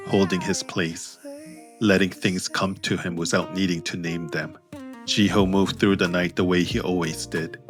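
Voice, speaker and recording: A man narrating scripted fiction in measured, deliberate phrases. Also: sits low, fairly bright tone. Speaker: narrator, author reading own story.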